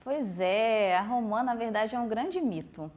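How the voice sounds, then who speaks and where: A woman's voice: fairly high pitch, expressive, a little slow, darkish tone. Woman explaining voice care, Brazilian accent. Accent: Brazilian Portuguese. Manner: patient, explanatory, uses many fillers like "né" and "tá". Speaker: speech therapist guest. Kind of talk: explaining on a TV talk show. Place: TV studio.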